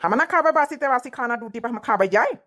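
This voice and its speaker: A woman's voice: fairly high pitch, expressive, fast, a bit bright. A woman voicing a fed-up village husband in an Awadhi comedy cartoon, complaining and scolding like a long-suffering spouse. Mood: nagging. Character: fed-up working village husband nagging his wife.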